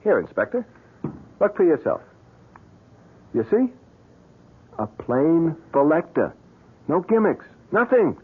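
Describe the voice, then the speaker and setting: An American actor playing a stubborn, brash photographer who argues informally, in a radio drama. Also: male voice, on the high side, sing-song, slow pace, long pauses, breathy edge, exaggerated voice. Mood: exasperated, protesting. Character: stubborn, quick-tongued male photographer, an everyman hero. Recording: muffled old radio broadcast.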